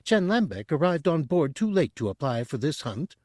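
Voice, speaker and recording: A man voicing a small, squeaky, nervous mouse-like male alien who stammers eagerly. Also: sing-song, normal speed, a little mellow, dry recording, studio quality.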